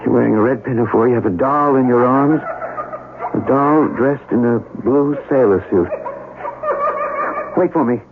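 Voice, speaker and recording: An actor playing an earnest, agitated scientist who doubts his sanity, with halting, insistent, formal speech in a 1970s radio drama. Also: mid-range voice, noisy background, natural voice. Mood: excited, urgent. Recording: muffled vintage radio broadcast recording.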